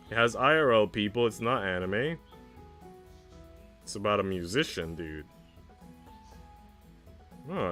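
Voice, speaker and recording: Laid-back young man, lowish voice. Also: a bit bright. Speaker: streamer. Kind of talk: casual livestream chat. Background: music.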